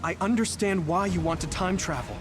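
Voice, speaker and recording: A sincere young game hero acted by a man with a notably high voice for a male. He is idealistic and pleading, with music loud behind him. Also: a little fast, lightly acted. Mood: earnest, pleading. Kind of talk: dramatic video game voice acting.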